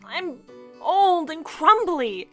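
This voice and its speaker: A woman's voice: very high-pitched, very animated, very slow, soft background music, pitched above the performer's own voice, exaggerated voice. A woman voicing an anxious, self-loathing middle-aged woman with a hesitant, stammering delivery in a story. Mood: despairing.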